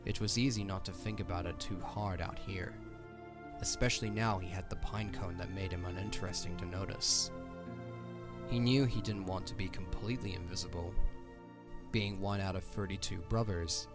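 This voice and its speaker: A man's voice: sits low, fairly even intonation, moderate pace, over music. A man reading scripted narration for a fantasy audio drama.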